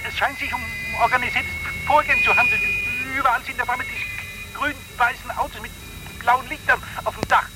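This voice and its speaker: A man's voice: very high-pitched, fairly even intonation, brisk. An actor playing a flustered, self-important male patrol driver stammering officialese over two-way radio in a satire. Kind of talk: satirical radio play acting. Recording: radio call in loud car noise.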